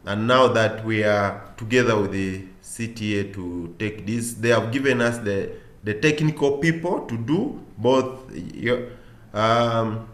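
A man's voice: lowish voice, unhurried. Man chairing in an echoey room. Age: middle-aged. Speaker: meeting chair. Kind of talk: formal meeting introduction. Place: small office indoors, on a video call. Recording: echoey room on video call.

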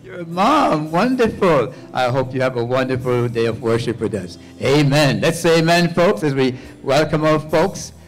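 A man's voice: medium pitch, big pitch swings, moderate pace, few pauses, faint music behind, poor audio. An older man, a pastor, walking the pews of a church sanctuary with a handheld mic, greeting visitors by name and calling on the congregation to say amen. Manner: warm repeating of names, calls for amen.

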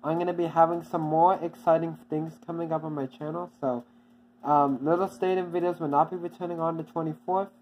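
A channel owner giving a casual, off-the-cuff update, halting and repeating itself often with many restarts. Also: medium pitch, flat delivery, dull audio, a little hiss or hum.

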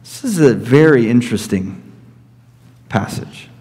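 Slow, deliberate man preaching in a church. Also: mid-range voice, expressive, darkish tone. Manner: slow, deliberate teaching with repeated points. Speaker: pastor. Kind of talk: expository sermon. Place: church sanctuary at a pulpit. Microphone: fixed camera at middle distance. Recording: reverberant church hall.

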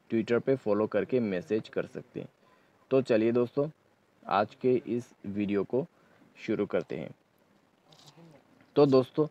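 A man's voice: medium pitch, dull audio. A man hosting a step-by-step phone tutorial in an instructional manner, often checking in as he goes. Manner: instructional, repeatedly checking in with "okay". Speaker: tech tutorial host.

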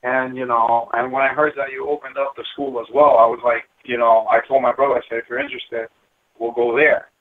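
A man's voice: medium pitch, steady tone, fairly quick. A middle-aged man talking casually and earnestly as an interview guest, peppering his speech with "you know". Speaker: guest, building engineer. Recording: thin phone-line sound.

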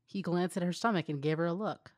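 A woman's voice: on the low side, fast, no room sound, studio quality. A young woman co-hosting a fan fiction reading, moving between casual banter and reading aloud.

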